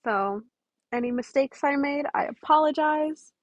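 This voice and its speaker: A woman's voice: high voice, unhurried, dry recording. A woman reading a story aloud and chatting casually to listeners as a podfic reader.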